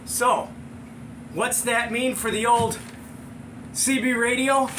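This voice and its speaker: A middle-aged American man hosting and telling a casual, slangy anecdote, excitable and acting out the sound effects. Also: extremely high pitch, slow pace, noisy background. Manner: excitable, slangy, chuckling storyteller. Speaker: show host and storyteller.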